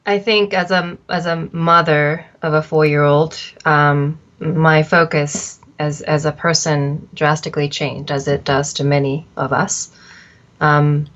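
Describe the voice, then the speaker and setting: A middle-aged woman from Japan, an arts agency founder, answering interview questions in a thoughtful, measured way with frequent pauses. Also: low-pitched, little pitch movement, fairly bright tone, muffled recording.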